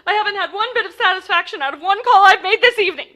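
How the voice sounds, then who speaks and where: An actress playing a nervous, demanding, indignant bedridden wife on the telephone in a 1940s-style radio play. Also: female voice, very high voice, racing, bright, forceful tone. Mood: indignant, exasperated. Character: imperious, hysterical bedridden invalid wife, frantic on telephone.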